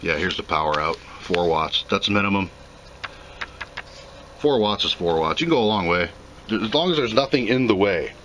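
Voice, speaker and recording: A man's voice: low-pitched, lively intonation, normal speed, pauses often, fairly bright tone, a little hiss or hum, low-quality recording. A plainspoken man giving an off-the-cuff, casual commentary as he reviews and unboxes a product.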